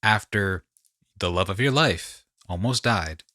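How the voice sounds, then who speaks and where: A man's voice: sits low, unhurried, bright, forceful tone, no room sound, studio quality. A man hosting a podcast in casual conversation, emphatic and effusive, repeating phrases for effect. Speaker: podcast host.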